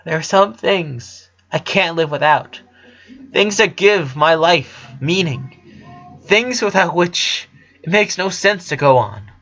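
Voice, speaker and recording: An anguished teenage rocker girl, acted out by a man, hurt and quick to blame herself. She speaks with pained emphasis, as in a dramatic storybook reading, on a slightly dull recording. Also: a little high, lively intonation, a bit bright, heavily acted. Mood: tender, pained.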